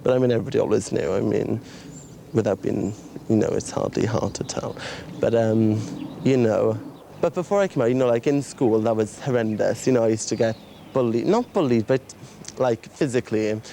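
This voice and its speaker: A man's voice: fairly low pitch, brisk, creaky, mellow, a little hiss or hum. Chatty young Welsh man. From Wales. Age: young adult. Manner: chatty and rambling, full of fillers. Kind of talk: casual interview chat.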